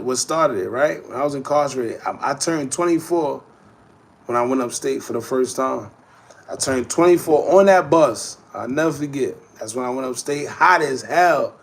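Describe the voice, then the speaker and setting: Gravelly-voiced man in his thirties. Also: a little high. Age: 30s. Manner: slangy, rambling, conversational street talk. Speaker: host. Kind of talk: casual livestream chat, reading viewer comments.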